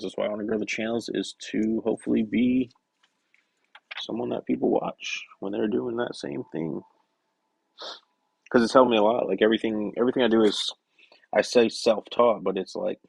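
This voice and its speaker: Hoarse man with a gravelly cough-worn voice. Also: on the low side, flat delivery, a little fast, pauses often, no room sound, low-quality recording. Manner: rambling, self-correcting, soft-spoken asides. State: hoarse, voice getting scratchy from a cough. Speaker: print shop owner streaming live. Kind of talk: casual live-stream chat while working.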